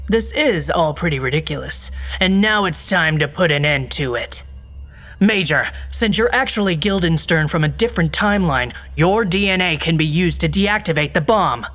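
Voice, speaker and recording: A woman's voice: sits low, animated, normal speed, a bit bright. A woman playing a determined, cool-headed secret agent in a spy video game, confident and matter-of-fact. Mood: resolute. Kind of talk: tongue-in-cheek spy game acting.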